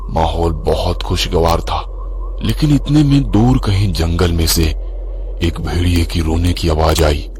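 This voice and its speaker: A man's voice: low voice, measured pace, darkish tone, a little hiss or hum. A man from Maharashtra, India, reading a scripted first-person horror story as its narrator.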